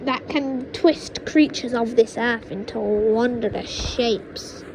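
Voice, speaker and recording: A woman voicing a sinister, witchy old grandmother in a storybook, menacing and secretive, with a drawn-out delivery. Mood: sinister boasting. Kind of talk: storybook character voice in an audiobook reading. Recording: noisy low-quality home microphone.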